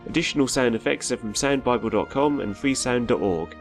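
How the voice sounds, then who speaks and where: A male narrator with a medium-pitched voice reads scripted closing credits for an audio drama. Music plays clearly behind him. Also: lively intonation, brisk.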